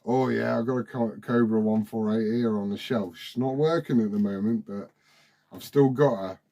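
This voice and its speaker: A man's voice: medium pitch, moderate pace, gravelly, background noise. A forty-year-old man, chatting casually and familiarly over CB radio. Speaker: CB radio operator asking questions. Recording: CB radio transmission.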